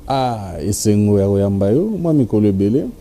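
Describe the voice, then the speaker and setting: A man's voice: fairly low pitch, animated, normal speed, mellow, slight background noise. A man with a Congolese French accent hosting a television interview, addressing viewers formally and switching between French and Lingala. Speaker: TV show host.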